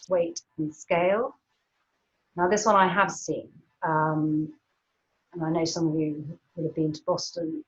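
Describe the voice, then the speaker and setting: Chatty woman lecturing over lo-fi audio. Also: lowish voice. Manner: chatty, many ums, light laughs. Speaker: art historian giving a talk. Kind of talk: informal illustrated lecture over slides.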